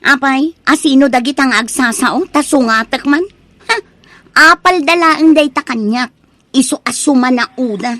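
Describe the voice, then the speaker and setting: A woman's voice: high voice, moderate pace, fairly bright tone, dry recording. A woman playing a vain, money-minded young village bride-to-be in a comic radio drama, with an Ilocano Filipino accent. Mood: haughty. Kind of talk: comedic radio drama acting.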